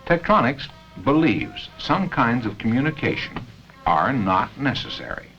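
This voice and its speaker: An American man reading scripted narration for a 1970 company training film, with measured, deliberate phrasing and pauses. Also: medium pitch, big pitch swings, a bit bright, faint music behind. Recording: dull 1970 film soundtrack.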